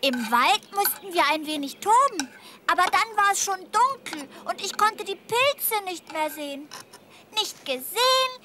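A woman voicing a playful, excuse-making little boy tiger with a squeaky, bright voice in a children's audio play. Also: expressive, measured pace, noisy background.

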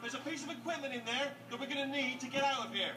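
A man's voice: very high-pitched, fast talker. A man voicing a chatty, nervous robot sidekick in a video game, rambling and hesitant. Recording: game audio from TV speaker, roomy and distant. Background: game music.

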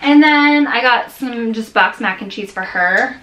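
A woman's voice: a little high, measured pace, fairly bright tone, slight background noise, low-quality recording. Chatty woman vlogging in her kitchen. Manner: chatty, rambling with many restarts and fillers. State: tired. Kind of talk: casual grocery haul chat. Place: home kitchen, indoors. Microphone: camera on a counter at close range.

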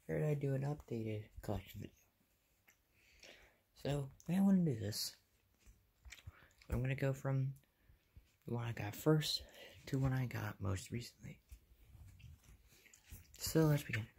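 A young American man with a medium-pitched voice talks viewers through a hobby in a casual way. His phrases are short, plain and offhand. It sounds like a handheld phone video made in a bedroom. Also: expressive, a little slow, vocal fry, pauses often, darkish tone, slightly rough. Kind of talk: casual show-and-tell narration. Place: small carpeted bedroom, indoors. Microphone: handheld phone behind the camera.

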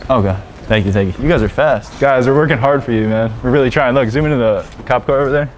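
Male, twenties, American, mid-pitched, quick casual delivery for a prank vlog. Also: a little hiss or hum. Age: 20s. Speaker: prank video host. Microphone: wireless mic or camera shotgun mic.

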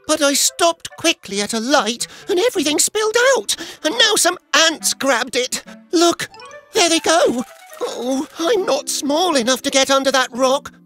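A man's voice: very high-pitched, sharp tone. A man voicing an anxious, naive young male tank engine in a children's cartoon, with worried urgency. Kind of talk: children's animated cartoon acting.

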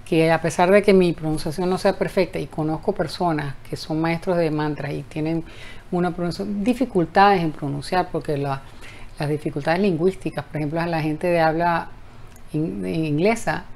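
A woman's voice: sits low, fairly even intonation, gravelly, darkish tone, slight background noise. A woman in her fifties giving a casual mantra lesson, talking into her phone camera in her apartment bedroom. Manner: talkative, frequent self-restarts, slow chanting of mantra. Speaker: mantra teacher. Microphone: phone camera at close range.

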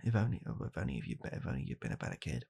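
A young British man in a hushed, halting, deadpan comedic ASMR roleplay, playing a bitter, weary father coldly blaming his child. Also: rumbling low, gravelly, breathy edge. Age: young adult. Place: small home room, indoors.

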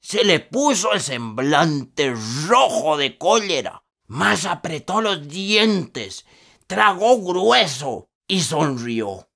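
Expressive folksy old Costa Rican storyteller. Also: male voice, a little high, drawn-out words, creaky, heavily acted. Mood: grave. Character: older Costa Rican rural foreman, storytelling, folksy, feisty. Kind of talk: radio drama narration.

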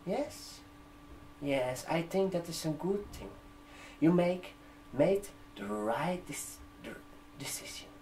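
Slow-talking young man doing ASMR, non-native English. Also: fairly high pitch, sing-song, slight background noise. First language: not English. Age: 20s. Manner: gentle, halting, drawn-out phrasing with check-in questions. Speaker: ASMR performer playing a barber shop receptionist. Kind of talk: ASMR roleplay, soft customer-service talk. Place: indoor room, seated at a desk with a laptop. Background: computer keyboard typing.

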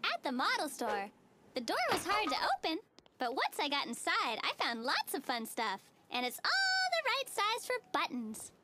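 Squeaky, sky-high cheerful cartoon little girl. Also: wildly swooping pitch, moderate pace, background noise. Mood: playful. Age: child character. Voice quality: squeaky, girlish. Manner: gushing, innocent, sing-song. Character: relentlessly cheerful, naive, sweet little girl. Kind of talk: animated TV cartoon acting. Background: playful children's music.

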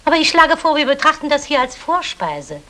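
Meddling mother-in-law, high and bright. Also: big pitch swings, fairly quick, full character voice. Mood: brisk, scheming. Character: wife's thrifty, meddling, condescending mother. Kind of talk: 1960s German radio play acting.

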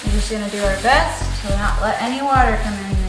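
A woman's voice: medium pitch, quick pace. A young woman chatting playfully to a handheld camera as a vlogger, walking around a concrete basement. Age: young adult. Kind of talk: casual vlog narration. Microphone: handheld camera at arm's length. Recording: echoey basement with faint music.

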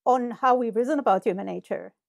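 Woman, gravelly, dry. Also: fairly high pitch, fairly quick, no room sound. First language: Hebrew. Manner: thoughtful, explanatory, many fillers. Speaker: cognitive scientist guest. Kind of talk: interview conversation. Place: home office with bookshelves. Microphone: earbud headset over video call.

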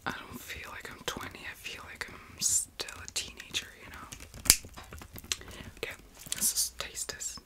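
A woman of about twenty whispering casual, excitable ASMR chat as a video host, often repeating words softly. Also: measured pace, pauses often. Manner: whispers almost throughout.

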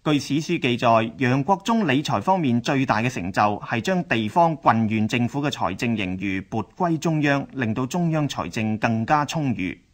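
A man's voice: medium pitch, lively intonation, moderate pace, few pauses. A man with a Hong Kong Cantonese accent reading scripted historical narration.

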